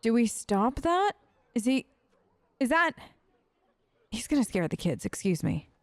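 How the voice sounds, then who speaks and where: A woman doing in-character commentary on a role-playing game, chatty and expressive in the way she reacts. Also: fairly high pitch, measured pace, no echo. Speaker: gamer, let's player.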